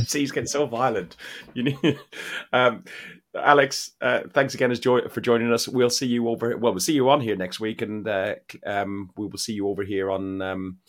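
A middle-aged man hosting a podcast in casual chat, halting and jokey as he goes. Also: medium pitch, steady tone, fairly bright tone. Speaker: podcast host.

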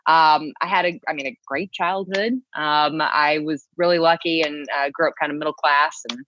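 Low-voiced chatty woman from texas. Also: fairly even intonation, normal speed, dull audio, slight background noise. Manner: chatty and warm, laughs easily. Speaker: guest, TV news co-anchor. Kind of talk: casual interview conversation.

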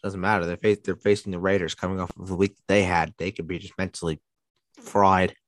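Congested, hoarse-sounding man on podcast. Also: low voice, little pitch movement, a little fast, no room sound. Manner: rambling, lots of fillers and restarts. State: congested with laryngitis. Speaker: podcast co-host. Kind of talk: casual podcast chat. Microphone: close to the mic.

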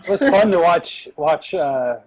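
A man's voice: fairly high pitch, animated, a little slow, soft background music, rough recording. A man talking casually in a discussion after a play reading.

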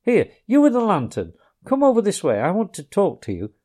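A man voicing a hearty, masterful male water rat who gives orders briskly. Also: high voice, huge pitch swings, warm tone, pitched above the performer's own voice, exaggerated voice. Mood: hearty, commanding. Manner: brisk, commanding, bossy.

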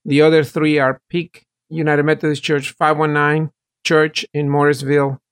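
A man's voice: on the high side, level tone, moderate pace, clear, resonant tone. A middle-aged man from El Salvador, a pastor, explaining his work in detail and earnestly as a guest on an interview podcast.

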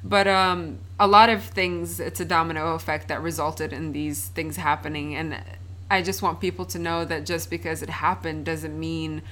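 A woman's voice: low-pitched, steady tone, normal speed, fairly bright tone, a little hiss or hum. A young woman from Palestine, a podcast guest answering questions in an earnest, thoughtful way with frequent fillers. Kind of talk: casual interview conversation.

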